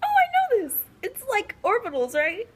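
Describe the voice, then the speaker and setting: A woman's voice: extremely high pitch, huge pitch swings, normal speed, heavily acted. A woman playing an inquisitive, slightly cheeky child student in an educational puppet show. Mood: eager.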